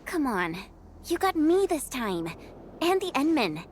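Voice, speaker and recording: A feisty young game fighter girl, high voice that swings a lot, casual and blunt, bit of ambient noise. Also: sing-song, measured pace. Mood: playful. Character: spirited, warm-hearted young female fighter. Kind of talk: video game voice acting.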